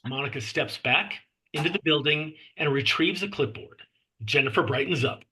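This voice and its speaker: A man reading screenplay stage directions as narrator, with measured phrasing and clipped scene headings. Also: fairly high pitch, bright, forceful tone, slight background noise, rough recording.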